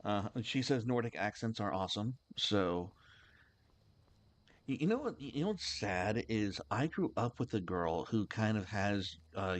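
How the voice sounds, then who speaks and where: Male streamer host, lowish voice, rambling and halting casual chat. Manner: halting and rambling, many restarts.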